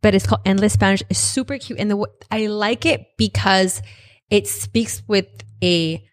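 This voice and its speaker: A woman's voice: medium pitch, normal speed. A woman hosting a casual podcast, speaking in a candid, self-deprecating way. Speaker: podcast host.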